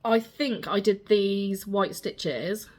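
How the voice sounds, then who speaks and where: A young woman with a slightly raspy voice, chatting casually as she shows her cross-stitch projects. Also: mid-range voice, slow pace, a little mellow. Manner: chatty, rambling, self-deprecating. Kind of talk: casual show-and-tell chat.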